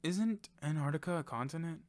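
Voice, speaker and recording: A man plays an excitable, loyal best friend caught in a battle-royale drama. The voice is on the high side for a man and anxious in manner. It is recorded close and dry. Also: brisk, dry recording, close to an everyday voice. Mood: earnest. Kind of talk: amateur audio fiction dialogue.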